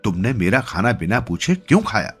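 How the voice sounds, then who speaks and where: A man's voice: on the low side, very animated, brisk, slightly rough, exaggerated voice. A man voicing a stern, demanding male forest demon in a narrated storybook tale. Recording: music behind the voice.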